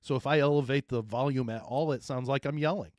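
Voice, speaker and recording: A deep-voiced man talks sports in a loose, rambling way, restarting sentences and then pressing a point hard. His voice sits at an ordinary pitch and is recorded close and dry, with no room sound around it. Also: fast talker, no echo. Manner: rambling, restarts often, emphatic. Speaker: co-host, former coach. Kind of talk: casual sports talk.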